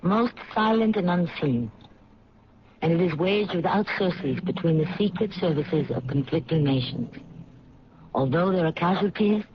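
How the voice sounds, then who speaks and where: A man's voice: a little high, lively intonation, normal speed. A man narrating the closing of a radio drama in a grave, formal way. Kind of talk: solemn scripted narration. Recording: dull old radio recording with faint hiss.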